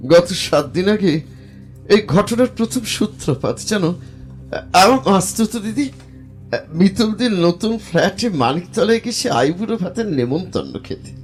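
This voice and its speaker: A man playing a tormented, haunted young man in a Bengali audio drama, speaking in a distraught, halting way. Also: high-pitched, lively intonation, faint music behind. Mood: haunted, tense. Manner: distraught, halting, repeats phrases emphatically.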